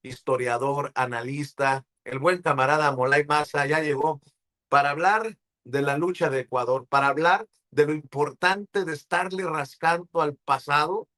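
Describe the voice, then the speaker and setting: A man's voice: fairly high pitch, normal speed, bright, forceful tone. A man with a Mexican accent hosting a live political talk show, speaking in an effusive, affectionate way. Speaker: show host.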